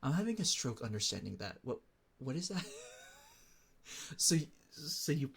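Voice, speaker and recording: A man's voice: mid-range voice, big pitch swings, normal speed, gravelly. A young man from the Philippines streaming and chatting casually with viewers, rambling and restarting his sentences as he talks.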